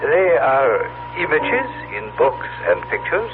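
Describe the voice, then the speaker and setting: An actor playing a solemn, mouthless telepathic being from the far future in a 1970s radio drama, with formal, stilted, precise speech. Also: male voice, medium pitch, wildly swooping pitch, takes it slowly, over music. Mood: detached, regretful. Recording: tinny, noisy 1970s radio broadcast.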